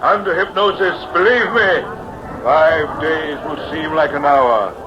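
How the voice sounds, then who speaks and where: A man's voice: a little high, measured pace, background noise, lightly acted. An actor playing a pushy carnival showman hypnotist with theatrical, declamatory patter, in the style of mid-century radio drama. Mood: coaxing. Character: showman hypnotist professor, pushy, smooth-talking carnival barker. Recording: dull vintage radio recording, music behind.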